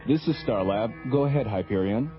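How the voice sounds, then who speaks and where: Efficient clipped space controller, radio transmission sound, music underneath. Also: male voice, fairly low pitch, fairly quick. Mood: businesslike. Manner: clipped, procedural. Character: brisk, efficient male space station flight controller. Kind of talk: radio drama acting. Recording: dull lo-fi radio recording.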